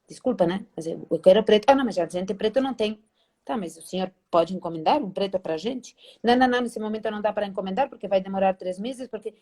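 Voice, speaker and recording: A middle-aged woman from Spain with a Spanish accent, a guest on a video-call interview, telling animated stories and acting out old conversations. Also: mid-range voice. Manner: animated, acts out past dialogues, frequent "né?" tags. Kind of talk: casual storytelling interview.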